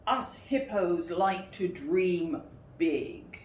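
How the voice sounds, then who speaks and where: A woman's voice: fairly low pitch, extremely slow. A woman voicing a bossy female hippo with big dreams, declaiming grandly in a storybook reading. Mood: determined. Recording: roomy, distant, noisy recording.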